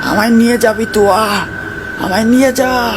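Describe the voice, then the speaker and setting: A man's voice: very high-pitched, normal speed, vocal fry, heavy background noise, voice pushed up. A man voicing a desperate villager in a cartoon, calling out in repeated, wailing pleas. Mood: desperate pleading. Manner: pleading, repetitive calling. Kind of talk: cartoon voice acting.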